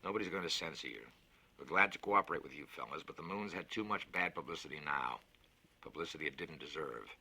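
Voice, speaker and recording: A man reads the part of a defensive lunar engineering official in a science fiction story. He has a deep, forceful voice and argues his case persuasively, with a salesman's insistence. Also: lively intonation, fast talker, vocal fry, breathy edge, exaggerated voice. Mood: mild, placating.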